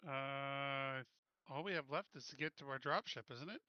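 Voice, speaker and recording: A man's voice: mid-range voice, normal speed, clean tone, no room sound, dull audio. A man playing a tabletop roleplaying game, chatting casually in a blunt, informal way.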